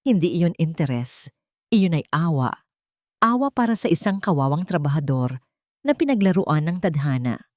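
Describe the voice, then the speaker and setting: Woman narrator for a romance drama: low, animated, expressive delivery, dry booth sound. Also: normal speed, dry recording. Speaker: storyteller.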